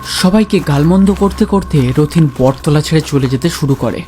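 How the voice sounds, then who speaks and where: A man's voice: a little high, moderate pace, dark tone, faint music behind. A man narrating a scripted, dramatic ghost story for a cartoon.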